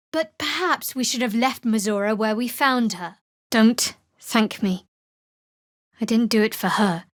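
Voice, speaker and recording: A woman voicing a guarded, sardonic young woman cleric in a fantasy video game, with a measured, dry delivery. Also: mid-range voice, no room sound, natural voice.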